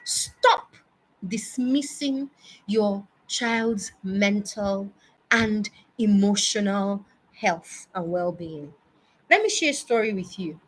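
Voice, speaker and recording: A Nigerian-accented woman in her early forties giving a personal advice monologue, emphatic and deliberate, repeating words for stress. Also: medium pitch, animated, low-quality recording. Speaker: speaker addressing parents.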